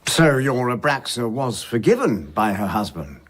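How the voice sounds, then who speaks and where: Husky, sceptical questioner. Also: male voice, medium pitch, big pitch swings, moderate pace. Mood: dry scepticism. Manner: probing, dry. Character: sceptical listener questioning a traveller. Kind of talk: audio drama dialogue.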